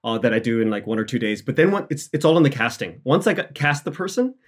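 A man's voice: medium pitch, very animated. A man chatting casually as an interview guest, enthusiastic and often breaking off to restart his thought. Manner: halting, self-interrupting, enthusiastic. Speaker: interview guest, audio fiction writer and director.

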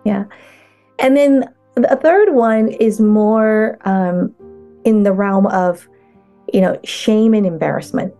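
Woman, gravelly voice, dark timbre, halting, interview guest. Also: mid-range voice, faint music behind. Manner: halting, many restarts and fillers. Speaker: guest coach. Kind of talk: casual interview talk.

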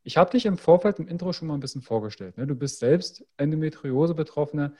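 A man's voice: fairly high pitch, animated, fast, mellow, slightly rough. A man hosting a health podcast, reading an upbeat promotional intro and then interviewing a guest. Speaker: podcast host and health coach.

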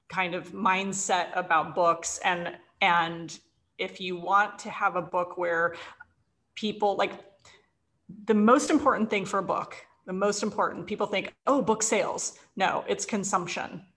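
A woman's voice: on the low side, fairly even intonation, unhurried, a bit bright. Emphatic woman giving casual expert advice. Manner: emphatic, asks and answers own questions. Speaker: guest, sales author and publisher giving advice. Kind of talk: casual advisory conversation.

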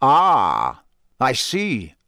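A man voicing a gruff, battle-scarred older male professor in an audiobook, growling, taunting and sardonic with quiet menace. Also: medium pitch, huge pitch swings, no echo, studio quality, full character voice. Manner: barking, taunting, deliberate. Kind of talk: audiobook character voice.

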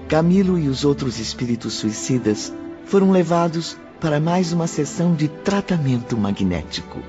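A man narrating a radio drama from a script in a deliberate, dramatic way. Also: on the high side, muffled recording.

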